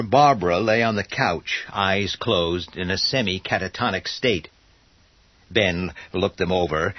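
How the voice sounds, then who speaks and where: A man narrating a scripted horror story in a dramatic, deliberate manner. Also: sits low, no room sound. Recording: dull audio with faint music.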